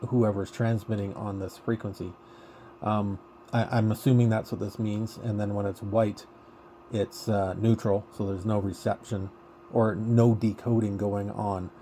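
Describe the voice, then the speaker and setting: A man's voice: on the low side, dark tone, slight background noise. A man chatting casually as an amateur radio operator, musing and thinking aloud in a hesitant way over a radio contact.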